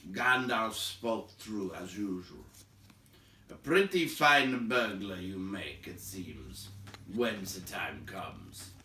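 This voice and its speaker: A man voicing a pompous, grand dwarf leader in a storybook, speaking slowly. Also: low-pitched, expressive, bright, forceful tone, a little hiss or hum, exaggerated voice. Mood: grandly impressed. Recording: roomy echo, distant from the mic.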